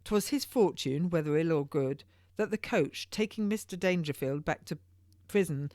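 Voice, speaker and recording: A woman's voice: low-pitched, moderate pace, darkish tone, no echo, clean studio sound, close to an everyday voice. A woman voicing a small, well-fed male messenger who tells his news with relish and deference in archaic English for an audiobook. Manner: passionate, deferential archaic storytelling.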